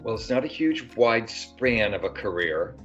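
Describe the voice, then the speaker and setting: An older man talks at a low pitch with a bright, forward edge to his voice. He rambles, stopping and restarting his sentences with plenty of ums. The sound is dull and lo-fi, with faint music underneath. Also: animated, a little slow. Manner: rambling, many fillers and restarts. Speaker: actor guest. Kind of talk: casual interview.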